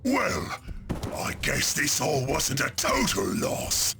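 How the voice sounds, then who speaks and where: A brutish ork pirate warboss sneers and gloats in thick Cockney. The growl is sharp and gravelly, swinging wildly in pitch in theatrical video game style. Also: male voice, wildly swooping pitch, slow pace, heavily acted. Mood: sneering. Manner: sneering, theatrical, broken grammar. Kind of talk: video game cutscene acting.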